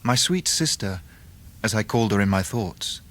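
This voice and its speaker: A man's voice: lowish voice, expressive, normal speed, fairly bright tone. An actor playing an earnest, affectionate, well-mannered young man in a period radio drama, tender and a little hesitant. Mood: measured narration.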